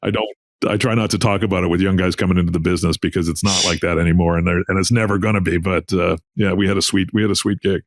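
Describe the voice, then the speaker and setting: A man's voice: low voice, fairly even intonation, quick pace. A middle-aged man reminiscing casually as an interview guest, in a rambling way peppered with "you know". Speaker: interview guest, veteran sports journalist.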